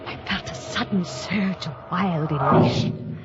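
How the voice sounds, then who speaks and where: A woman's voice: fairly low pitch, lightly acted. Woman acting a restless morbid mystery heroine, intense dramatic narration, old muffled 1950s radio sound, music under it. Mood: tense fascination. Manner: intense, dramatic, deliberate repetition. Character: restless, morbidly thrilled woman recounting a dark tale.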